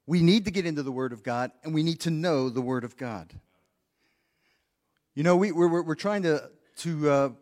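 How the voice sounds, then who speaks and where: Male pastor, slightly high voice, earnest teaching tone, stumbles and repeats words, long hall reverb. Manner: earnest, repeats words while thinking, asks the congregation questions. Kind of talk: practical sermon teaching. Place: church sanctuary.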